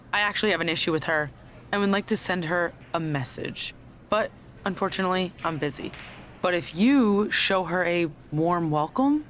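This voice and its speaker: A woman's voice: sits low, fairly bright tone, slight background noise. Scheming female wrestler. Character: scheming, self-serving female wrestler proposing a deal. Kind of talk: scripted video game cutscene dialogue.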